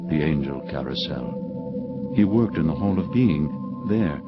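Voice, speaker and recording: An aloof, commanding angel, acted by a man, speaks in an extremely low voice with terse formality. The audio drama sound is dulled, with loud music behind. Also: expressive, unhurried, lo-fi sound.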